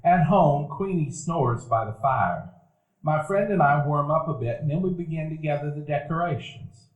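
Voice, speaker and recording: Casting: actor as a reminiscing narrator; slightly high male voice, roomy hall. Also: normal speed, highs cut off, lightly acted. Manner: calm, reminiscent storytelling. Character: grown Buddy recalling his boyhood. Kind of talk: radio play narration. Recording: roomy live stage recording.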